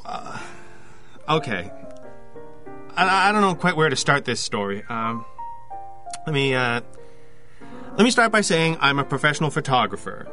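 An actor playing an awkward, earnest photographer in an audio drama, speaking in halting, hesitant phrases. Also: male voice, medium pitch, sharp tone, full character voice. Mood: uneasy, hesitant. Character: awkward, earnest freelance photographer confiding a strange story.

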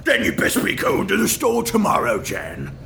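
A crude peasant husband, griping at his wife in a high, gravelly voice that swings wildly up and down, as a man acts him out. The tone is bright and edgy, with faint ambience behind. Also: wildly swooping pitch, normal speed, a little hiss or hum, exaggerated voice. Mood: bickering.

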